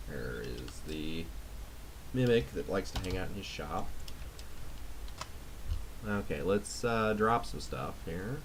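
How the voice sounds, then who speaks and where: A man giving casual, low-key video game commentary, thinking aloud as he plays. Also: lively intonation, slow pace, pauses often. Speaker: let's play host. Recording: noisy mic with keyboard clicks.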